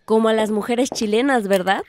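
An actress with a Mexican accent playing a bold, idealistic young daughter in a radio drama. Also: female voice, on the high side, a little fast, a little mellow, lightly acted. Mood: gentle, curious.

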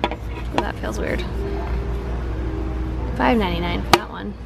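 Young woman vlogging in a craft store, chatty and gushy, bit of vocal fry, music playing behind. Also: mid-range voice, measured pace. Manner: chatty, gushing over items, thinking aloud. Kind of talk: casual shopping vlog commentary. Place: inside a large craft store. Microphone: handheld camera.

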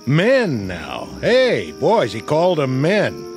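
An actor playing a sardonic, battle-hardened American army sergeant in a radio drama, mocking and needling. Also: male voice, a little high, huge pitch swings, takes it slowly, darkish tone, faint music behind, heavily acted. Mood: bitter mockery.

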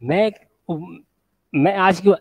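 A man with a sore throat arguing on a video call, halting and restarting his sentences. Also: high voice, no room sound. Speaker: caller in group debate. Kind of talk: casual group video call debate.

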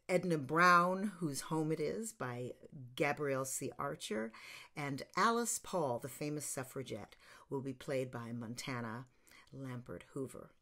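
An American woman in her sixties hosting online, giving an informal introduction with measured delivery and emphatic pauses. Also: low voice. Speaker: theater company host introducing an online show. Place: small home room, indoors.

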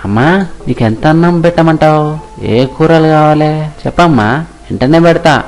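A man's voice: on the high side, normal speed, a little mellow, soft background music, natural voice. Man playing a kind, earnest young fisherman. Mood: caring. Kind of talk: acted moral story dialogue.

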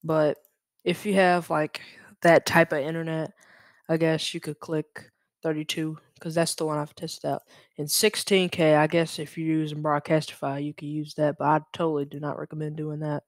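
A young man from Louisiana hosting a casual, unscripted screen-share tutorial, halting and correcting himself as he goes. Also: a little high, level tone, dry recording, studio quality. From Louisiana, United States. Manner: hesitant, halting, self-correcting. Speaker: tutorial host. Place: indoors at a computer. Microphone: USB microphone.

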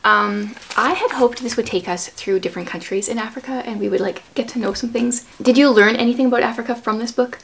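A woman's voice: on the high side, fairly quick, creaky, hardly any pauses, slight background noise. A woman in her thirties hosting a casual book chat at home, explaining things conversationally and prompting with questions. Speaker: host, homeschooling mother. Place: small furnished room at home, indoors.

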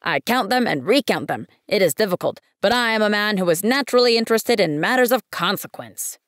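A woman voicing a pompous, peevish middle-aged businessman who insists on matters of consequence, speaking loudly and firmly. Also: sits high, lively intonation, fairly quick, no echo, studio quality, pitched above the performer's own voice.

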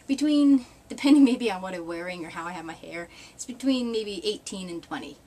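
A woman's voice: mid-range voice, animated, fairly quick, mellow. A woman in her thirties from New England, chatting to a webcam in a small room, rambling and wry with frequent laughs and mild swearing. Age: 30s. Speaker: vlogger. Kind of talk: casual chat. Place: small indoor room with red cloth backdrop.

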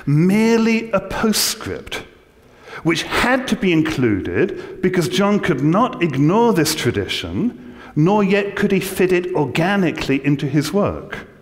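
A middle-aged man with an English accent giving a measured, scholarly theology lecture from a church lectern. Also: high-pitched, big pitch swings. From England. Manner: measured, scholarly, dry humor. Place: large church, indoors. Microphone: lectern mic. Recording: long church reverb.

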